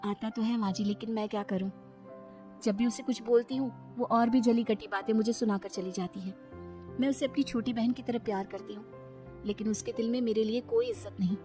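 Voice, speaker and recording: A woman voicing a naive, gentle young village daughter-in-law in a Hindi family cartoon, respectful and earnest. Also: a little high, fairly even intonation, fairly quick, close to an everyday voice. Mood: hurt, resigned. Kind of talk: animated Hindi family story dialogue. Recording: music behind the voice. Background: background music.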